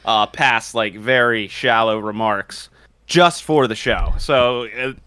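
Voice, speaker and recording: A man co-hosting a casual gaming podcast, excitable and animated and quick to laugh, speaking into a studio mic. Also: medium pitch, smooth, no echo. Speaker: podcast co-host.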